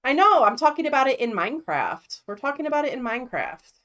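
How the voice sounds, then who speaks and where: A woman's voice: high-pitched, sing-song, fast talker, very noisy. Blunt, cursing woman streamer. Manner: blunt, profane, exasperated. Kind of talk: casual reaction commentary.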